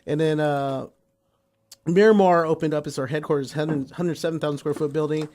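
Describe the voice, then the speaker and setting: A man from San Diego sits in as a brewery guest, talking up his company in an eager, promotional way and repeating words for emphasis. His voice sits fairly high for a man. It is a casual radio studio conversation. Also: steady tone, fairly quick, darkish tone. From San Diego, USA.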